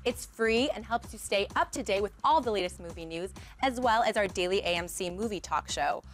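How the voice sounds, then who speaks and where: Woman, medium pitch, scripted channel promo voiceover, soft music underneath. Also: lively intonation, brisk.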